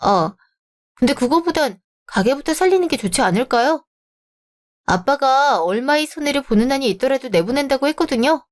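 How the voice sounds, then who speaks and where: A woman's voice: high voice, lively intonation, normal speed. A young woman who speaks in a cool, firm and cutting way, playing the heroine of a radio drama. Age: young adult. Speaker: heroine of the story.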